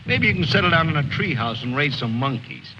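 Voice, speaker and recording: A man acting as a cynical, gruff soldier in an old war film, sarcastic and forever needling. His delivery swings widely in pitch over a muffled soundtrack with noticeable noise. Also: lively intonation, brisk, close to an everyday voice. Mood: mocking. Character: gruff, sardonic, cynical male soldier named Mac.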